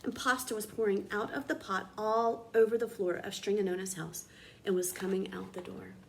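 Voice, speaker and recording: A woman reading a children's storybook aloud in an expressive, dramatic teacher's manner. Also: medium pitch, normal speed, gravelly, slight background noise.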